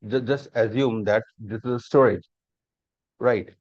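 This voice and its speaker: A man's voice: medium pitch, unhurried, darkish tone, no room sound. A man, teaching a live online class on cloud computing and explaining step by step with many repeats and rhetorical questions. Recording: dull lo-fi dry online class audio.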